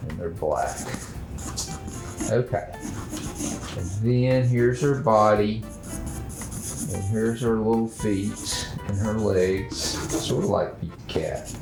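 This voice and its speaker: A man hosting a children's drawing show, talking in a gentle, unhurried, halting way as he thinks aloud through a casual lesson. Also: on the low side, echoey room, music in the background, rough recording.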